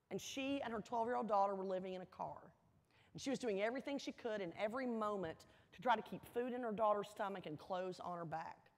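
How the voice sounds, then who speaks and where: A woman gives a motivational storytelling speech to an audience, earnest and emphatic, with dramatic pauses and repeated phrases. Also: fairly high pitch. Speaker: motivational speaker at a university welcome event. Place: large indoor hall, addressing an audience. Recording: echoey large hall with long reverb.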